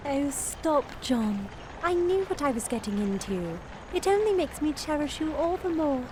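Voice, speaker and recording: An actress playing a plucky, devoted young Victorian wife and detective's assistant in a radio drama, spirited, earnest and polite. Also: female voice, high-pitched, very animated, a little slow, noisy background. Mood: affectionate.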